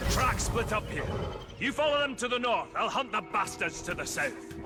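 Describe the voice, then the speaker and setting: Blustering high-pitched dwarf hunter. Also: male voice, big pitch swings, moderate pace. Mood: agitated, impatient. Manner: blustering, impatient, emphatic. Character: gruff, hot-tempered male dwarf hunter. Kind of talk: video game cutscene acting. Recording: music under the voice.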